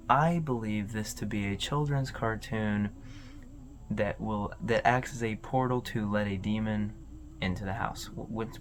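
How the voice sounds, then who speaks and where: A man with a fairly low voice hosts an informal video commentary. He talks casually and haltingly, rambling as he works through his thoughts. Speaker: video host.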